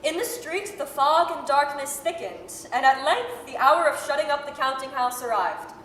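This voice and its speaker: A woman narrating a radio drama as a measured, articulate, dramatic storyteller. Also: high-pitched, lively intonation, fairly bright tone. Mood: storytelling. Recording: echoey hall, faint noise.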